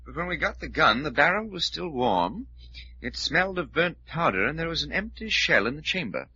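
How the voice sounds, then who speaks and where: Crisp, forceful sidekick investigator. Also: male voice, on the high side, big pitch swings, normal speed, heavily acted. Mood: composed. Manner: clipped, factual. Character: composed, precise sidekick investigator. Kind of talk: 1940s radio acting. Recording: dull, noisy old radio recording.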